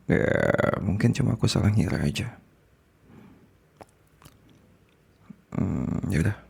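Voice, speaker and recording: A man playing a possessive, smitten male boss in an intimate ASMR roleplay, speaking haltingly with an Indonesian accent in a breathy, gravelly voice that slips into whispers. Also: fairly low pitch, animated, a little mellow, exaggerated voice. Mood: resigned. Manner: halting, sighing, repeats words, slips into whispers.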